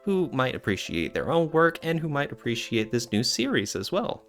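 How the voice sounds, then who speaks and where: Man with very lively pitch, podcast co-host. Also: normal speed, faint music behind. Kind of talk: casual podcast discussion.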